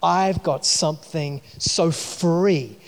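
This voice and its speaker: A man's voice: on the high side, slow pace. A man from New Zealand with a New Zealand accent, preaching a sermon in a conversational way, with many fillers and restarts. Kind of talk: sermon with personal story. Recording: long hall reverb.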